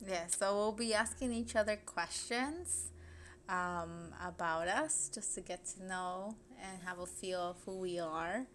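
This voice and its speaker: A woman's voice: on the low side, unhurried, a bit bright, slight background noise. Woman asking casual podcast questions. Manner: asks questions, gives short agreeing replies. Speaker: podcast co-host. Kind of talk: casual chat.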